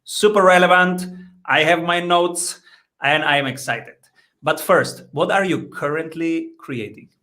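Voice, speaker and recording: A man's voice: fairly high pitch, measured pace, pushed and bright. A man hosting an interview, introducing his guest in an upbeat, enthusiastic way. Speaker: podcast host.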